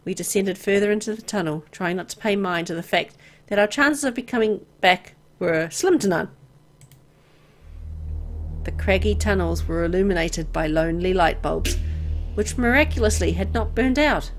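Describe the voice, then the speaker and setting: A woman reading a horror visual novel aloud in an expressive, dramatic storytelling way. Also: medium pitch, normal speed. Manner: expressive, acting out dialogue. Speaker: gameplay video narrator. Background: music.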